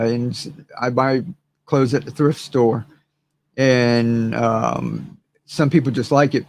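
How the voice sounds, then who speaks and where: A hoarse man hosting a gardening channel, chatting casually and rambling to his viewers with many fillers and repeated words. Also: mid-range voice, darkish tone. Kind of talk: casual livestream chat to viewers.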